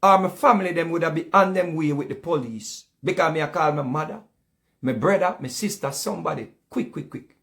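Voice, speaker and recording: A man's voice: fairly high pitch, a little fast, vocal fry, fairly bright tone. A man with a Jamaican accent giving casual, heated commentary in patois, often repeating himself. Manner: heated, repetitive, speaks in patois.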